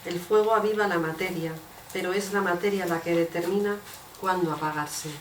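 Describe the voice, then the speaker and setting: A woman with a fairly low voice recites poetry to a room. The sound is echoey and noisy, picked up at some distance from the microphone. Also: fairly even intonation, moderate pace. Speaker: reader of poems in translation. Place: bookshop event.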